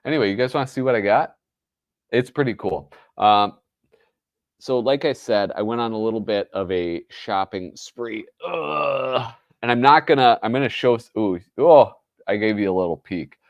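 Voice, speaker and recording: A man's voice: lowish voice, no room sound. A man with a nasal voice hosting a casual livestream chat, rambling and correcting himself with lots of fillers. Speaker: host.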